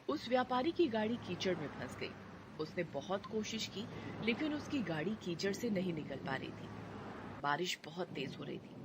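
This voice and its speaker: A woman reads out a cartoon story in a scripted, storytelling manner, with lively pitch movement. Clear noise sits behind her voice throughout the recording. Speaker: narrator. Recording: noisy background.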